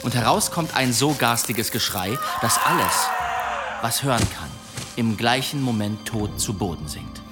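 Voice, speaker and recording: A man's voice: medium pitch, lively intonation, unhurried, close to an everyday voice. A man acts out a swaggering demon hunter in a radio drama. He spins tall tales with florid pomp and a dramatically swinging melody, over prominent music. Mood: ominous, theatrical. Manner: theatrical, florid, pompous storytelling. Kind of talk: German radio play acting.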